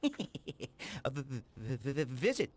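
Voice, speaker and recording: An actor playing a good-natured, simple garage mechanic with a stutter and a halting, eager manner in a video game. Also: male voice, medium pitch, very animated, slightly rough, full character voice. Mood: friendly, halting. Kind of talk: dramatic video game cutscene acting.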